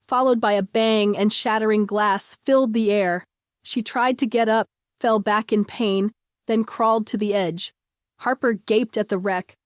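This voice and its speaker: A woman's voice: on the high side, dry recording. Woman narrating fiction, very dry sound. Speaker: audiobook narrator. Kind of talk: scripted dramatic story narration.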